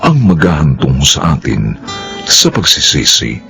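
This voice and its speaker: Deep, slow dramatic male radio narrator. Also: big pitch swings, creaky, sharp tone, soft background music. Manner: solemn, dramatic announcer delivery. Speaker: narrator and director.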